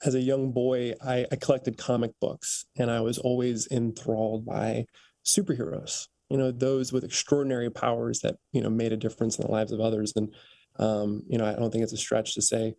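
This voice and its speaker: A man's voice: medium pitch, level tone, a little fast, creaky, warm tone, no room sound. An American man interviewing a guest in a conversational way, feeling out his phrasing as he goes.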